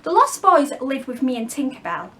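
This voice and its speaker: A woman's voice: high-pitched, big pitch swings, moderate pace, bright, forceful tone, heavily acted. A woman voicing an adventurous, boastful young boy who leads other children in a storybook. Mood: eager, boastful.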